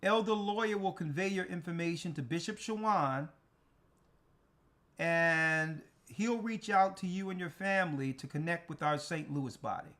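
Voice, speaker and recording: A man hosting a church livestream as an elder, taking callers and giving brisk directions. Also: on the high side, fairly bright tone. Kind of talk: livestream call-in talk.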